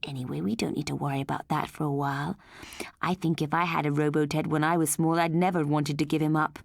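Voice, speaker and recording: A prim, conformist wife and mother from a futuristic audiobook tale, played by a woman narrator. She talks emphatically in a fairly low voice, recorded close and dry. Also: steady tone, brisk, gravelly, no room sound. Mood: pleased.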